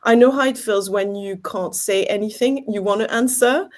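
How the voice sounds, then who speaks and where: French-accented lady teacher, native french, upbeat and a bit hesitant, mid pitch. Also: moderate pace, a little mellow. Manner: enthusiastic, hesitant fillers. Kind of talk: panel discussion.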